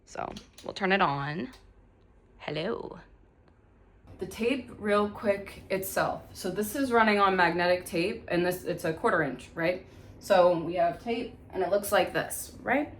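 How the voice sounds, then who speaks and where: A woman's voice: fairly low pitch, a little slow. A woman giving a casual, chatty hands-on tutorial, informally thinking aloud as she goes. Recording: echoey room, faint background noise.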